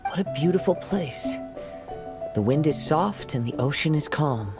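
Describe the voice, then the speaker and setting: A man playing a calm young wandering swordsman with a polite, lyrical manner in an anime game. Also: fairly high pitch, unhurried, over music. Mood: serene.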